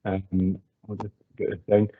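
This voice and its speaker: A man with a lowish voice hosts an online masterclass, thanking the guest and asking long, friendly, rambling questions padded with ums and you knows. His sound is thin and tinny, like a phone line. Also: monotone, unhurried, slightly rough. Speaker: event host.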